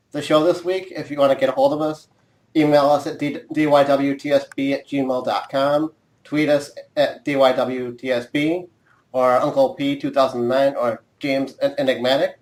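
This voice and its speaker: A man's voice: mid-range voice, steady tone, normal speed, reverberant. A man co-hosting a podcast, speaking emphatically and closing out the show with contact details. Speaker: podcast co-host. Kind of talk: casual chat.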